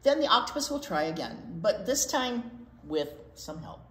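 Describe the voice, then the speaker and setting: Expressive older American woman reading to children. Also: medium pitch, moderate pace. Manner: expressive, explains things simply for children. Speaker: children's storytime reader at a library. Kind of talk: read-aloud picture book storytelling. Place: library children's room, indoors. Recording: roomy, echoey indoor sound.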